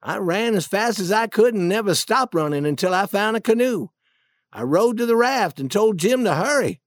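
An earnest runaway boy from a storybook, acted out by a narrator. He pleads his case anxiously and hurriedly, with lively intonation in a dry, close recording. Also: mid-range voice, normal speed, no room sound, close to an everyday voice.

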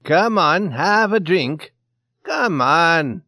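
Casting: man to act a big belligerent Swedish drunk for an audio story, loud, high-pitched, demanding, swinging wildly. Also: very animated, takes it slowly, no room sound, clean studio sound, exaggerated voice. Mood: boastful, pushy. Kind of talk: dramatized short story reading.

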